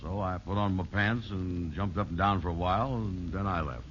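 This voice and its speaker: An actor playing a gullible, slow-witted, blustering man in an old-time radio sitcom. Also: low voice, lightly acted. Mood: naive, explaining. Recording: dull, noisy vintage radio recording.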